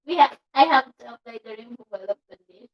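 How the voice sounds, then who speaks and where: A young woman with a Filipino accent and a high voice chats on a couple's vlog. She is playful and giggly. She drops into Tagalog now and then. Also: animated, fast talker, highs cut off. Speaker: vlogger. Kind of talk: casual chat.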